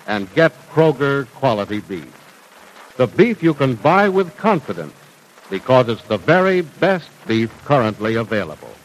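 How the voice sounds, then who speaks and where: A man announcing a 1940s radio serial and reading its sponsor's commercial in a smooth, emphatic salesman style. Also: mid-range voice, rough recording.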